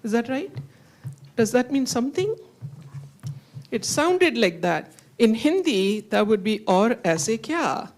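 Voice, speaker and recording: A woman's voice: fairly high pitch, big pitch swings, takes it slowly, long pauses, darkish tone, slight background noise. A woman on a writers' panel, commenting in a thoughtful way.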